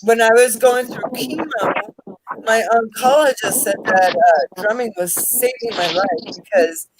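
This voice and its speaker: A woman's voice: a little high, measured pace, background noise. A woman drummer chatting candidly on a video call, talking in long run-on stretches. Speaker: drummer in an online chat. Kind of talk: casual chat.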